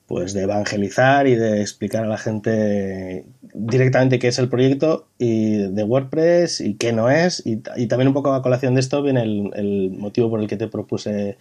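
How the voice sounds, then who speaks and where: Hombre de voz cálida, invitado. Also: male voice, lowish voice, normal speed, darkish tone. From Bilbao, Spain. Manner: thoughtful, explanatory, with fillers. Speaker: podcast guest, web project consultant. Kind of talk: casual podcast chat.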